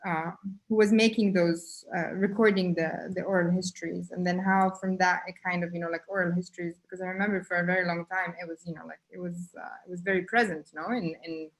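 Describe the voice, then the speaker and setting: A woman in a casual online conversation, thinking aloud in halting phrases full of fillers. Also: on the low side, steady tone, low-quality recording. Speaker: guest artist.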